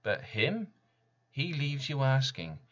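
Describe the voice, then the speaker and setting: A man reading his poem as dramatic spoken word, deliberate and intense, with weighty pauses. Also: mid-range voice, big pitch swings, fairly bright tone, dull audio. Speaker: poet reading own work.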